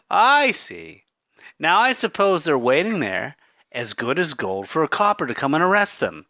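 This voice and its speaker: A man acts a cheeky milkman in a radio play, with a bright, forceful voice that swoops up and down. He is wry, jaunty and good-natured. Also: fairly high pitch, big pitch swings, unhurried, full character voice. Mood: sceptical, wry. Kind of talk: audio play acting.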